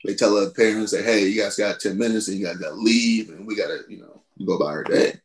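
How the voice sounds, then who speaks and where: A man in his thirties, a former college football player, telling stories on a podcast in a casual, animated way, laughing and jumping high in pitch when he quotes himself. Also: fast, slight background noise, low-quality recording. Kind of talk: casual storytelling.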